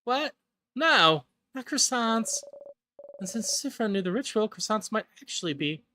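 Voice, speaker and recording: A man voicing an excitable, easily astonished companion in a fantasy game, exclaiming in a high-strung way. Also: unhurried, a little hiss or hum, exaggerated voice. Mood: alarmed. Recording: music behind the voice.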